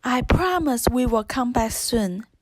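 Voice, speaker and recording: A woman's voice: on the high side, expressive, a little slow. A woman voicing a kind, earnest young princess in a children's storybook. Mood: caring.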